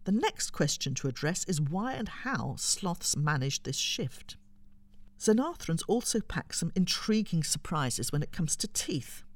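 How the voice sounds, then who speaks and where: A woman narrates an educational script in a fairly low voice. Her intonation rises and falls in a lively way while she explains things clearly and at a measured pace. The sound is dry, with very little room around it. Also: no room sound. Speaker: narrator reading a science article.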